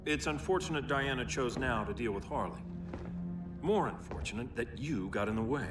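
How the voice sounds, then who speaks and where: A man's voice: mid-range voice, expressive, moderate pace, natural voice. An American man playing an authoritarian, self-righteous alien superhero in a dramatic video game. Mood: cold, stern. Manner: grave, commanding. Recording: echoey, distant, music behind.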